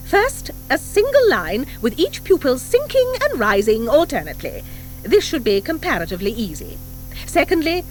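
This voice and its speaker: Strict witch-school form mistress for a children's audiobook, played by a woman: high, commanding, crisp, with swooping emphasis. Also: a little hiss or hum, exaggerated voice. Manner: crisp, commanding, precise, piercing. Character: stern, formidable, brisk schoolmistress at a witches' academy. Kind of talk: audiobook character acting. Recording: 1984 audiobook from cassette.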